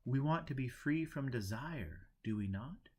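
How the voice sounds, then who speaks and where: A man voicing an imperturbable, teasing monk who teaches in deliberate, didactic pronouncements in a storybook. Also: mid-range voice, lively intonation, darkish tone, husky, voice pushed up. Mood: preachy.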